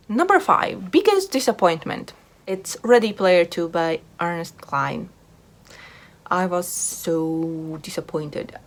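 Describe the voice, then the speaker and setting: Woman, lower-pitched voice, casual book vlogger, informal delivery that leans on repeated words. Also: a little hiss or hum. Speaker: book reviewer video host. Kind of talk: casual book chat to camera.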